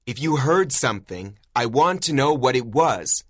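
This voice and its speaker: A stern male prison warden in an audiobook tale, put on by a man, commanding yet baffled. His questions come insistently in a husky voice with very lively pitch, recorded dry. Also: moderate pace, dry recording. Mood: stern questioning.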